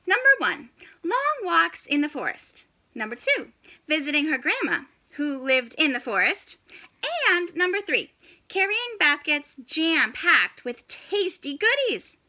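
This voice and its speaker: A woman reading a children's story aloud in an animated, expressive way with dramatic pauses. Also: high voice.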